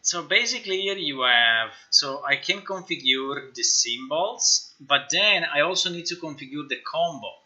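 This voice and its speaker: A man with a very bright, forceful voice talks casually through his work on a live stream. He is a non-native English speaker who mutters to himself, repeats phrases and swears freely. The recording sounds somewhat dull and lo-fi. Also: on the high side, expressive, moderate pace, hardly any pauses. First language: not English. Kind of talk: casual live stream chat, thinking aloud. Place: indoors at a computer.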